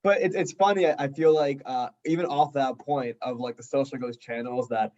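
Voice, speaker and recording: A man's voice: medium pitch, steady tone, fairly quick, darkish tone. American man, slightly dull lo-fi sound. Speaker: band member, interview guest. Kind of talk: casual chat.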